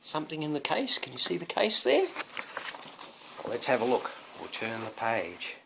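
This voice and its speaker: A man reading a picture book to his young son, expressive, with coaxing asides to the child. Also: mid-range voice, normal speed, vocal fry, background noise. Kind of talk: animated storybook read-aloud.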